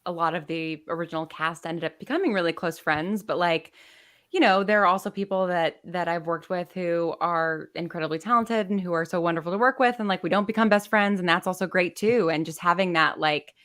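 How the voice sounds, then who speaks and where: A woman speaks in a lowish voice, talking casually as a guest on a video call. She chats freely and leans on "like" fillers as she goes. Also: brisk, a bit bright. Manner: chatty, frequent "like" fillers. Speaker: guest, audio drama writer. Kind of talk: casual conversation.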